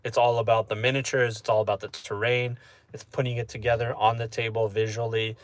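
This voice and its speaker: A man chatting casually with his live-stream audience, relaxed and talkative, often asking "you know what I mean?". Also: medium pitch, a little fast, dry recording. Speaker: streamer answering chat.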